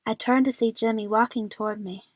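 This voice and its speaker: A woman's voice: on the high side, steady tone, a little fast, no room sound, clean studio sound. A woman narrating a first-person story as the lead of an audio drama.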